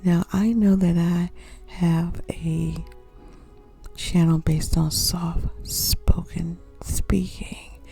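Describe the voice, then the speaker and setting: A woman who runs a soft-spoken ASMR channel, teaching in a gentle whisper and repeating key phrases slowly. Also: lowish voice, flat delivery, creaky, soft background music.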